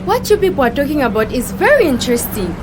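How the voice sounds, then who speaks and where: An inquisitive, polite Zambian passenger in a radio play, played by a woman. Her high voice moves animatedly over clear street and vehicle noise. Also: sing-song, quick pace. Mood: curious. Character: curious, polite female bus passenger. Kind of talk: acted audio drama dialogue. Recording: noisy street and vehicle background.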